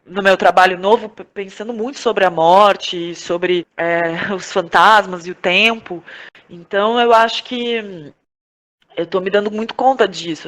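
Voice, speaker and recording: A Brazilian woman giving reflective interview answers, thinking aloud in a halting, searching way with frequent tag questions. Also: mid-range voice, fairly bright tone. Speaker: podcast guest, playwright, actress and director.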